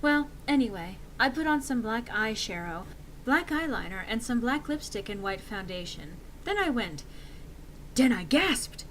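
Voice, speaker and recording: High, lively woman giving a theatrical reading. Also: a little slow, a little hiss or hum, poor audio. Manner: theatrical, swinging into shouts for quotes. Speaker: dramatic reader of fan fiction. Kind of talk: exaggerated dramatic reading of fan fiction.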